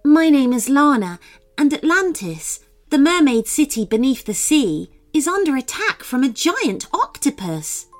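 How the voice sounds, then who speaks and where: A woman as an earnest, high-voiced magical mermaid. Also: big pitch swings, unhurried, soft background music. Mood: earnest pleading. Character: magical female mermaid pleading urgently for help. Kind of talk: children's storybook character voice.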